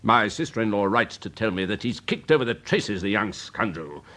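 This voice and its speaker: Pompous, swooping upper-class English major. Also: male voice, fairly low pitch, very animated, moderate pace, fairly bright tone. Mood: vexed, blustering. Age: older. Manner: pompous, blustering, theatrical. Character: pompous, snobbish older Victorian major, fussy and easily vexed. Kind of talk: British radio drama acting.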